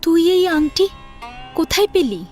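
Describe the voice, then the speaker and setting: With music behind her, a modest but spirited young woman, acted by a female story reader, scolds affectionately. Her voice is high and swings expressively through teasing lines in an audio-story style. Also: expressive, a little slow, a little mellow. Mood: playful. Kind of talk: Bengali audio story acting.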